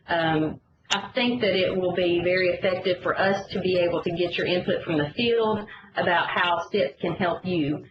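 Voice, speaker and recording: Woman on a muffled, noisy conference line. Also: on the low side. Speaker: education agency staff member leading a rollout. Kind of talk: informal work briefing on a conference call. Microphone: conference call line. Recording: muffled conference call line, clear background noise.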